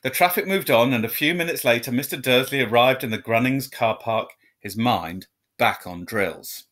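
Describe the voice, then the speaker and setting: A man reading a children's story aloud to students, with measured phrasing and expressive, dramatic emphasis. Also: mid-range voice.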